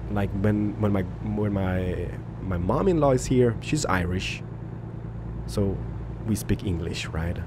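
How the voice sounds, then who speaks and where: A man's voice: low voice, slow. Man over truck noise. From Poland, raised in Sweden. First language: Swedish. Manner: rambling, loose, many fillers and restarts. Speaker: gaming YouTuber. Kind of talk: casual gameplay commentary with viewer Q&A. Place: home office. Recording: game engine noise under voice.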